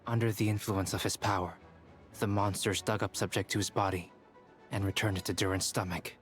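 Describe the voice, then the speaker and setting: A man voicing a composed, clinical young alchemist in a video game, measured and formal. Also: on the low side, fairly even intonation, lightly acted. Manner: measured, matter-of-fact, formal. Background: game music.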